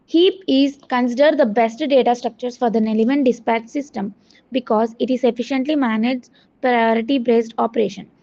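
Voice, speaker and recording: A dull, lo-fi recording of a woman with a fairly high voice. She reads a prepared project presentation like a student, haltingly, tripping on words and correcting herself as she goes. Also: steady tone, mellow. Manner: halting reading with stumbles and self-corrections. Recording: dull, muffled lo-fi audio.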